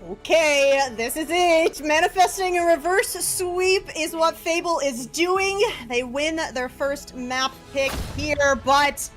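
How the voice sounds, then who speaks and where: High-pitched woman analyzing live esports. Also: steady tone, slow, few pauses, faint music behind. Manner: conversational, explanatory. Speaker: esports commentator. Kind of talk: analytical live game commentary.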